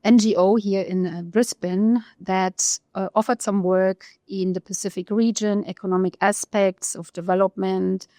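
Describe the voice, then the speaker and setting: A woman with a German accent, a research economist telling her career story in an interview, pausing often with fillers. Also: medium pitch, steady tone, warm tone, no echo, studio quality. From East Germany. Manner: thoughtful, pauses often with fillers.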